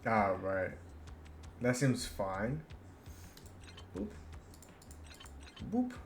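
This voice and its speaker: A man streaming a game, reading its dialogue aloud haltingly and adding casual commentary. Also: medium pitch, sing-song, a little hiss or hum. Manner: halting read-aloud with stumbles.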